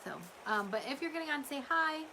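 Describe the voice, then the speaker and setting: A woman's voice: high-pitched, animated, a little fast, noisy background. A woman chats casually and ramblingly to customers on a livestream, her voice a little strained from talking. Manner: rambling, chatty. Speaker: small business owner hosting a live update.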